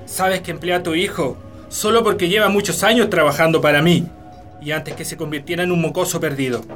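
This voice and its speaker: A man's voice: a little high, a little fast, a bit bright, soft background music, natural voice. A man with a Chilean accent playing a harsh, domineering landowner in a radio drama, commanding and threatening. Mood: menacing, bitter.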